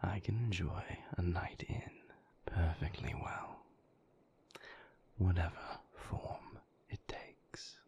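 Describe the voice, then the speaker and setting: A moody vampire boyfriend acted by a man murmurs in a very low, half-whispered voice. He is suave and guarded, choosing formal words and drawing them out very slowly, as in an intimate audio roleplay. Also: a little mellow, dull audio, exaggerated voice. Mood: suave, teasing. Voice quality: breathy, creaky near-whisper. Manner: languid, formal, measured phrasing.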